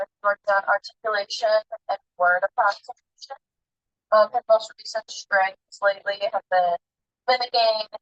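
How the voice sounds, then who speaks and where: Woman, student presenter, relaxed with fillers, dry muffled line. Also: medium pitch, no echo. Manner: casual, with frequent ums and uhs. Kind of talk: class presentation. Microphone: video call.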